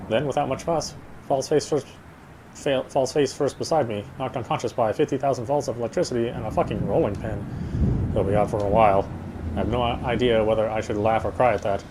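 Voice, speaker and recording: A man's voice: mid-range voice, slight background noise, low-quality recording. A man doing a dramatic read of visual novel narration, stumbling over the odd word. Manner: stumbles over words while reading. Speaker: let's player reading a game aloud. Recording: music bed behind the voice. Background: loud game music.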